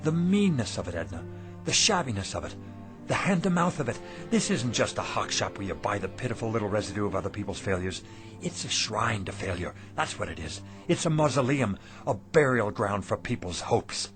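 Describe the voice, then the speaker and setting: A man's voice: fairly low pitch, animated, fairly quick, music in the background, natural voice. A man acting in a radio drama as a weary, stubborn, embittered shopkeeper husband, plain-spoken and emphatic. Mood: bitter, despairing.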